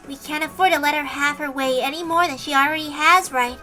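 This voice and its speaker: A woman's voice: high voice, steady tone, fairly quick, sharp tone, faint music behind, voice pushed up. A woman voicing a resolute, earnest young girl in a dramatic visual novel read-aloud.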